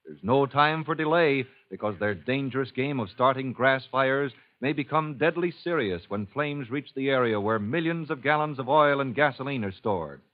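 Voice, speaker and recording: A man's voice: mid-range voice, lively intonation, normal speed, hardly any pauses. A man announcing a 1950s radio drama in a dramatic, emphatic style. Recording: dull old radio recording.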